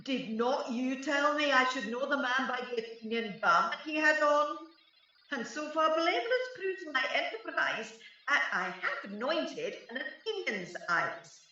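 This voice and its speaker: A woman's voice: high-pitched, sing-song, unhurried, sharp tone, exaggerated voice. A woman playing a gleeful, mischievous sprite servant in an amateur Shakespeare radio play. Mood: cheeky, unrepentant. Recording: very echoey, distant, slightly dull.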